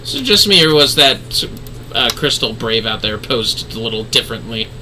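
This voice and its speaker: A man's voice: medium pitch, big pitch swings, moderate pace, pushed and bright, a little hiss or hum. A man giving casual livestream game commentary in an offhand, wry, slangy way. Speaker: game streamer.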